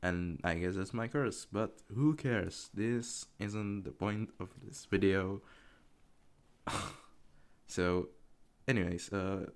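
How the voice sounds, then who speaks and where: A young man of eighteen talks unscripted over his gameplay footage. His voice sits low and gravelly, with plenty of vocal fry. He rambles, swears casually and laughs at himself. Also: takes it slowly. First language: Czech. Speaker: gaming commentary YouTuber.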